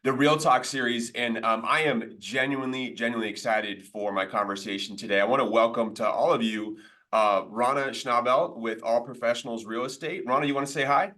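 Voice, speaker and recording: A man's voice: medium pitch, fairly even intonation, fairly quick, sharp tone. A man hosting a casual interview, speaking earnestly and feeling his way through his phrasing. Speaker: host, mortgage professional.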